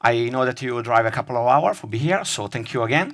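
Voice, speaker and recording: A man's voice: medium pitch, lively intonation, quick pace. A man moderating a conference session, briefly thanking and introducing the next speaker.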